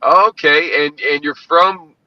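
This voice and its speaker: A man's voice: a little high, steady tone, a little slow, dry recording. A man chatting casually and rambling, with plenty of swearing, over an online call. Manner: profane, rambling. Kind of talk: casual chat. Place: indoors, online video call. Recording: slightly dull lo-fi audio.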